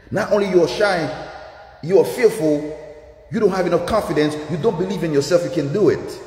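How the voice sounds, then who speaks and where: A man's voice: on the high side, darkish tone. Haitian-accented man in an echoey room. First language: Haitian Creole. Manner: emphatic, repetitive, preaching. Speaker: dance instructor. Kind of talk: motivational live-stream talk.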